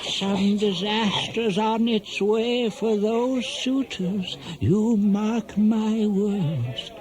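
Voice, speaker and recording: An actor in a radio play as a solemn, foreboding soothsayer with a portentous, drawn-out delivery. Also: male voice, high-pitched, a crowd in the background. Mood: ominous. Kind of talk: 1970s radio play acting.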